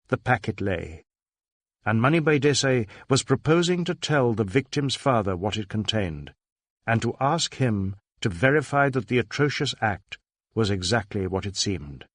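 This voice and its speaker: A man's voice: mid-range voice, no echo, clean studio sound. A man reading a literary crime story as a scripted narration. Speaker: audiobook narrator.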